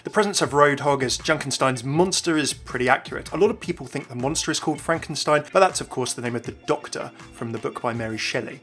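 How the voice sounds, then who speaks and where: A man's voice: mid-range voice, fairly bright tone. A man hosting a scripted video game lore voice-over in a chatty, informal way.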